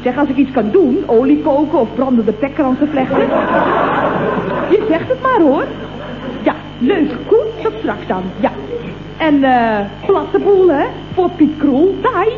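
A thin, phone-like vintage radio comedy recording with faint noise. a high-voiced Dutch woman at the office, chatty and gleeful. She gushes and teases in exclamations. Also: lively intonation, measured pace. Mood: gleeful, excited. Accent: Dutch, from the Netherlands. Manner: gushing, exclamatory, teasing. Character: chatty, gleeful, cheeky female office colleague. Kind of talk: late 1960s comic radio play acting.

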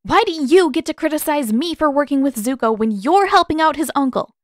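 A woman's voice: high-pitched, expressive, a little fast, dry recording, clean studio sound, voice pushed up. A woman voicing a fiery, indignant teenage girl who speaks sharply and accusingly in a storybook reading.

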